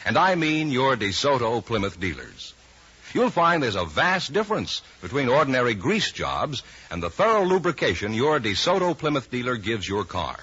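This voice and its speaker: Bright, animated American announcer on vintage radio. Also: male voice, medium pitch, moderate pace. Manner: polished, emphatic salesmanship. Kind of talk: 1950s radio announcing, scripted sponsor reads. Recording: dull, raspy vintage radio broadcast.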